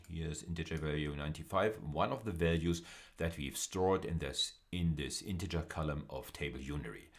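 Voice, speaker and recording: A man giving an explanatory video lecture as a university lecturer, speaking in a halting, deliberate way with emphatic stresses. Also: a bass voice.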